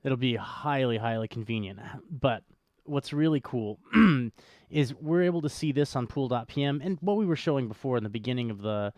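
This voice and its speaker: A man with an average-pitched voice talks as the host of a live stream. He explains things conversationally and without a script. The sound is dry, with very little room on it. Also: expressive, moderate pace, a little mellow, no room sound, lo-fi sound. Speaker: podcast host.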